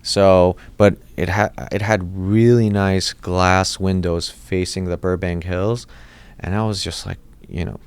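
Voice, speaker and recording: A man's voice: deep voice, fairly even intonation, a little slow, smooth. A dentist in his thirties, born in Iraq and raised in Los Angeles, telling his story in a casual interview, with many restarts and fillers. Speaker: dentist, podcast guest.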